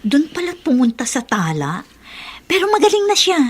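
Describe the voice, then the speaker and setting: Fairly high-voiced, chatty Filipino wife. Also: female voice, huge pitch swings, moderate pace. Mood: sympathetic. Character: homely wife, caring and chatty, sympathetic. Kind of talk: radio drama acting.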